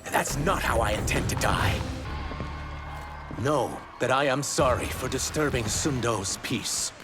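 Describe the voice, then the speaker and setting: A man voicing a proud, defiant reptilian fugitive warrior in a fighting game, speaking gravely and formally. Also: medium pitch, unhurried, natural voice. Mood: grave, urgent. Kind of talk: cinematic fighting game acting. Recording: loud cinematic music behind.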